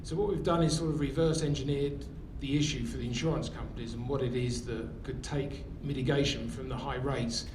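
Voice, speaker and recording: British male specialist, mid-pitched, measured and technical, speaking from the floor with noticeable background rumble. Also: fairly even intonation. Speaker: audience member, tree care professional. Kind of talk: explanatory remarks from the floor. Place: conference room. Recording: echoey room, rumbling noise.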